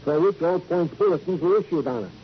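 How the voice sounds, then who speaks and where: Comic sidekick cop played by a man, American, fussy and officious, higher voice, old noisy radio drama. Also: expressive, fairly quick, close to an everyday voice. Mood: officious, businesslike. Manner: pompous, mock-formal, officious. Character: fussy, pompous, comic male police sergeant sidekick. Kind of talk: 1950s radio drama acting. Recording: dull, noisy vintage radio recording.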